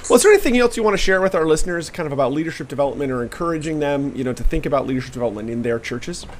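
A man's voice: fairly high pitch, expressive, rapid-fire, a little hiss or hum. A middle-aged man hosting a podcast over a video call, upbeat and encouraging. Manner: upbeat, brief affirmations. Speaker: podcast host. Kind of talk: podcast interview.

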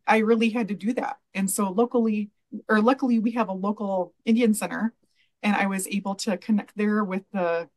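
A middle-aged woman answering interview questions over a video call from a room at home, speaking in an earnest, measured way with many "you know"s. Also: mid-range voice, a little mellow, poor audio. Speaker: guest, library association president. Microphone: video call webcam.

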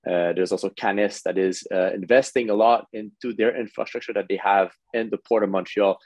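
A man's voice: fairly low pitch, steady tone, a little fast, darkish tone, muffled recording. A man, a port executive answering on a video call panel, hesitant with many fillers and restarts.

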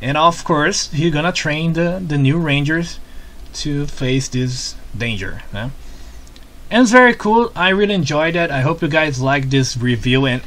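A Brazilian man with a Brazilian Portuguese accent, reviewing a show in a casual, excitable way, stumbling over repeated words and tagging sentences with "né?". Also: a little high, unhurried.

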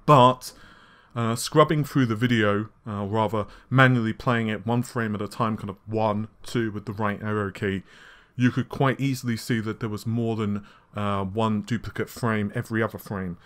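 A man hosting a casual tech news show, his voice slightly scratchy and worn, talking in a chatty way with frequent fillers and quick asides. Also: on the low side, fairly even intonation, fairly bright tone. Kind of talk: casual solo tech commentary. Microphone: laptop recording setup.

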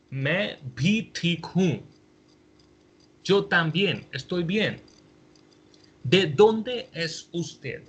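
Indian-accented man, native Hindi speaker, patient teacher; very slow, drawn-out delivery, slightly husky. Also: on the high side, sharp tone. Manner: repeats each phrase patiently, drawing out words. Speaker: language teacher. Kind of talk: slow spoken language lesson. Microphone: voice-over over slides.

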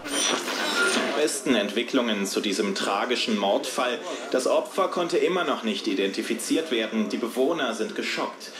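An actor playing a glib, pushy TV reporter amid a crowd. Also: male voice, medium pitch, expressive, normal speed, lightly acted. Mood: smug. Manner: glib, sensationalist. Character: pushy male TV reporter, smug. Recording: echoey outdoor crowd.

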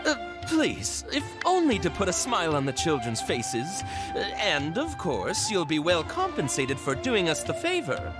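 A man's voice: fairly high pitch, huge pitch swings, a little slow. A man voicing an anxious middle-aged villager in a game, asking for help in a hesitant, pleading manner. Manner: hesitant, pleading, many ums. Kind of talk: game cutscene dialogue. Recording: game soundtrack music behind.

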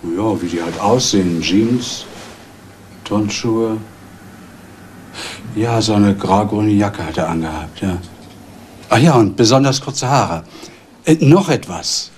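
A low voice over faint background noise: an officious, suspicious hotel receptionist in a crime radio play. He is brusque, sometimes halting. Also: expressive. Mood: hesitant, reporting. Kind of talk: German crime radio drama acting.

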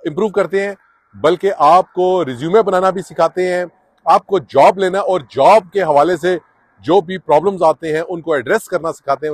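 A man's voice: on the high side, no echo, clean studio sound. Middle-aged man giving earnest outdoor advice. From Pakistan or India. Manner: earnest, advising, with many filler "uh"s. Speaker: immigration advice vlogger. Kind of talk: casual explanatory vlog to camera. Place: outdoors on a sunny residential street.

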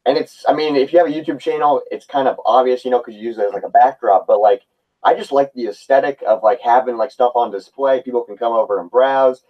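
A man's voice: mid-range voice, fast, muffled recording. A man in his twenties talking casually and nostalgically as a guest in a video-call discussion. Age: 20s. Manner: nostalgic, relaxed.

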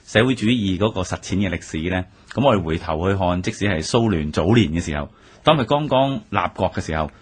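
A man with a Hong Kong Cantonese accent and a deep, husky voice. He talks briskly, setting out his analysis point by point as a radio commentator. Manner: orderly, enumerating points.

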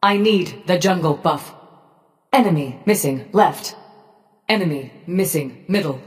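A woman voicing a cold, menacing robotic gorgon in a video game, giving short commands in a measured, detached, machine-like way. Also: on the low side, lively intonation, long reverb tail. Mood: cold, commanding. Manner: slow, measured, emotionally detached machine-like delivery. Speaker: game character voice pack.

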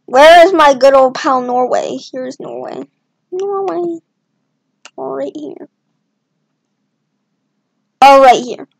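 Woman, slow-paced casual self-talk, rambling asides, voice on the higher side. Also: creaky, a little hiss or hum, low-quality recording. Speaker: map-making video creator. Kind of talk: casual commentary while drawing.

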